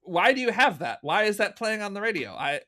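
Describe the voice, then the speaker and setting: A man's voice: high voice, very animated, a little fast, sharp tone. A man speaking as an interview guest in casual chat, with a self-deprecating manner. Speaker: interview guest, fan audio drama producer.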